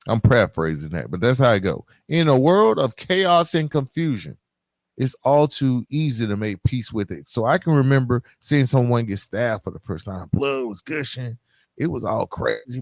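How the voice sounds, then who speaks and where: A man's voice: medium pitch, no echo. An American man hosting a solo podcast in a conversational, emphatic way, using street slang and often checking in with the listener. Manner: colloquial street-inflected slang. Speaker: podcast host.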